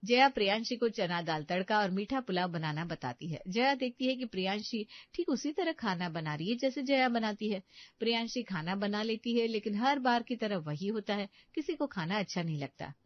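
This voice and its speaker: A woman narrating a scripted bedtime story in an even, explanatory way. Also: medium pitch, normal speed, no room sound, dull audio.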